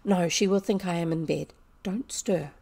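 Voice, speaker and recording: A woman's voice: lowish voice, moderate pace, creaky, dark tone. A woman playing a brave, imaginative little girl in a storybook, speaking earnestly. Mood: hushed.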